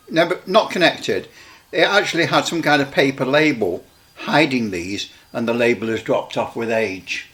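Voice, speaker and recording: A middle-aged or older man giving a casual hands-on demonstration, rambling a little, halting and often repeating himself. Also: mid-range voice, a bit bright. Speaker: radio hobbyist presenter.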